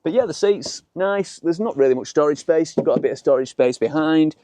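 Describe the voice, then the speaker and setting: A man's voice: fairly high pitch, expressive, fairly quick, mellow, dry recording. A man with a Yorkshire accent presenting a casual car vlog in chatty, colloquial British slang. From Yorkshire, England. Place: in and around a small open-top car outdoors. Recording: noisy, car and outdoor ambience.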